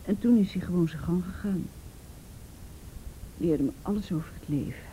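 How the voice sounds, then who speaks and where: A woman's voice: on the low side, normal speed, mellow, slight background noise, close to an everyday voice, poor audio. An actress playing a frightened teenage girl in a Dutch radio play, stammering and repeating words as she recounts painful memories. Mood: shaken, subdued.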